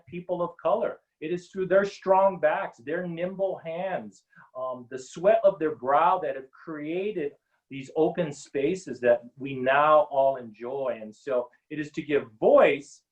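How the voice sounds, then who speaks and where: Measured middle-aged man on a muffled call. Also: fairly high pitch. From Hawaii. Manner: measured, earnest, many ums. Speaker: panel guest, Native policy advisor. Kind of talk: webinar panel talk.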